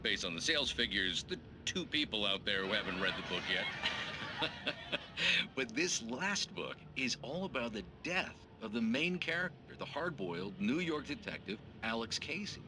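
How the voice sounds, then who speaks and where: A man's voice: mid-range voice, sing-song, normal speed, background noise, close to an everyday voice. An actor playing a glib late-night talk show host, showy, blunt and joking with his guest. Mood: mock outrage.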